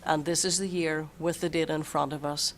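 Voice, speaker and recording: A superintendent who is losing their voice a bit, explaining a budget at a school board meeting in a measured way and repeating words while thinking. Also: mid-range voice, level tone, slight background noise, poor audio. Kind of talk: budget presentation at a public meeting.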